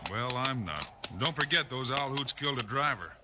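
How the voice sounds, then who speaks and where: An actor playing a determined frontier marshal in a 1950s radio western, firm and plainspoken. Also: male voice, medium pitch, lively intonation, moderate pace, close to an everyday voice. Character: steady, determined male US marshal hero. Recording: very noisy old radio recording.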